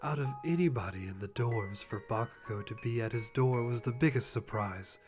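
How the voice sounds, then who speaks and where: A man's voice: medium pitch, moderate pace. A man giving a dramatic live reading of fan fiction in a mock-serious way, often close to laughing. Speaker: channel host reading a fanfic. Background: background music.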